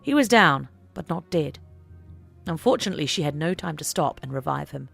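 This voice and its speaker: A woman's voice: low-pitched, animated, fairly quick, vocal fry, fairly bright tone, slightly rough, soft background music. A woman narrating an audiobook story in a dramatic, scripted reading style.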